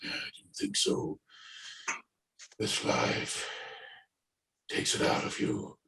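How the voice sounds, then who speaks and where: A man playing a lonely, bitter, world-worn father in a stage play monologue, halting and brooding. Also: sharp tone. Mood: wistful, weary. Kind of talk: play table reading, monologue. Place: indoors, echoey room, remote video call. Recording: roomy echo on video call.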